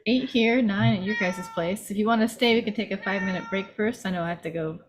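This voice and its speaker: A woman of medium pitch hosts an informal table read over a video call. She speaks in an amateur narrator's and casual chatter's style. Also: fast, background noise. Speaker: table-read host reading stage directions. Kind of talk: amateur script narration and casual group chat.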